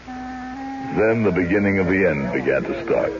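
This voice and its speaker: An actor playing a grave, genteel county clerk in a radio drama, speaking in a measured, formal, portentous way. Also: male voice, sits low, huge pitch swings, over music, full character voice. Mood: solemn narrating. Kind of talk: old-time radio drama acting and narration. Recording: dull, hissy radio drama recording.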